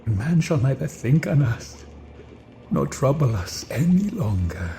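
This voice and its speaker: An actor playing a brooding, menacing monster who longs for love, speaking solemnly and commandingly in an audio drama. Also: male voice, medium pitch, sing-song, a little slow, gravelly, mellow, faint music behind. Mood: yearning, solemn.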